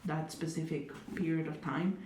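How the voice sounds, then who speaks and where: Woman speaking in a flat, echoey room. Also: deep voice, normal speed. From Italy. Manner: thoughtful, many hedges and fillers, wry humor. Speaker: interviewee. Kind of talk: reflective conversational interview. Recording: echoey room, distant mic, faint noise.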